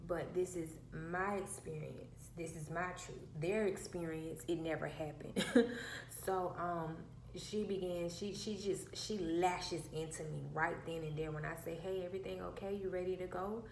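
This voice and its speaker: A woman with a soft, childlike, fairly low voice tells a personal story in a casual way. She is emphatic and piles up repeated phrases. The sound is roomy and echoey, with a faint hum behind her. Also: steady tone, measured pace. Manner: emphatic, repeats herself for effect. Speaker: vlogger.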